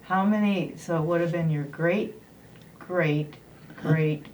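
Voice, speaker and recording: A woman pastor interviewing an elder, asking short questions and offering soft affirmations. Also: fairly low pitch, takes it slowly, a little hiss or hum. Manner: gentle prompting with short affirmations. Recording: voice distant from the mic.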